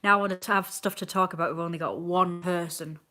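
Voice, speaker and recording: A woman's voice: on the low side, steady tone, brisk, bright, forceful tone. A woman in her twenties chatting casually on a live stream, rambling and answering viewers' comments as they come in. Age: 20s.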